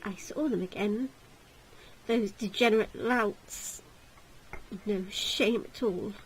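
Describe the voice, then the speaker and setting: A woman's voice: medium pitch, takes it slowly, slight background noise, natural voice, rough recording. A woman voicing a bitter, hot-tempered young woman in a visual novel, indignant and sarcastic, with emphatic delivery.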